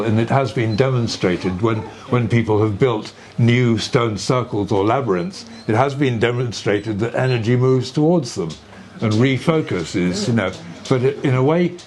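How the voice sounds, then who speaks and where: A man's voice: mid-range voice, darkish tone. An older man talking off the cuff at a live event, reflective and careful to qualify his points. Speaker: speaker at a public conversation. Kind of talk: conversational unscripted talk. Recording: audience noise behind the voice.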